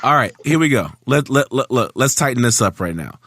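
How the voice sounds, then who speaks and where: Lively, bright-voiced male podcast host. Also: medium pitch, fairly quick, no room sound, studio quality. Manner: joking, stumbles over names with a laugh. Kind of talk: casual chat, reading out a fight card.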